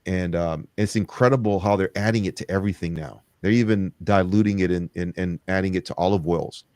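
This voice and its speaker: A middle-aged man hosting a podcast, talking in a rambling, agreeable way with frequent pauses and restarts. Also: deep voice, fairly even intonation, darkish tone, no echo, clean studio sound. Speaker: podcast host. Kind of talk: conversational interview.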